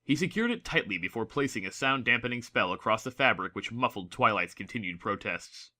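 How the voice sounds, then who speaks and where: A man's voice: lowish voice, lively intonation, fairly quick, bright, forceful tone. A man reading scripted story narration as the narrator of a dramatic audiobook.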